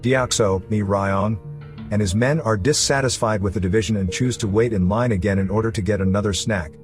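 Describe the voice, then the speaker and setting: A man's voice: on the low side, a little fast, over music. A man reading scripted narration for a story recap video, as its narrator.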